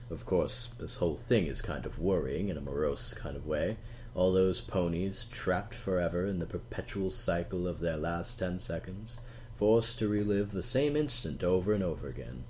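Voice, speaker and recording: A man's voice: low voice, darkish tone, slight background noise. A man narrating a storybook, slipping into playful, teasing asides to the listener. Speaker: audiobook narrator. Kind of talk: storybook reading.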